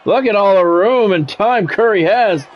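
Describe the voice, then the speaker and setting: A man's voice: high voice, normal speed. Man calling sports play-by-play, slightly muffled. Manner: announcer-style calls in short clipped phrases. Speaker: live streamer and play-by-play commentator. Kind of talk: sports play-by-play commentary mixed with casual chat to viewers.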